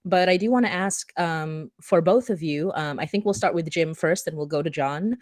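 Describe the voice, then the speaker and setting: A woman with a low-pitched voice hosts a relaxed podcast chat. She talks informally, with plenty of "like" in her sentences. The sound is dry, with little room around her. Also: normal speed, no room sound. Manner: chatty and informal, peppering sentences with "like". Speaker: host. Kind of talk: casual podcast conversation.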